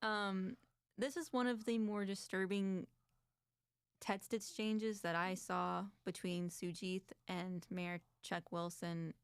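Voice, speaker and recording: A woman's voice: medium pitch, flat delivery, slow pace, clear, resonant tone, dry recording, clean studio sound. A woman co-hosting an advocacy talk show, chatting casually and reading documents aloud with commentary. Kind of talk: casual discussion.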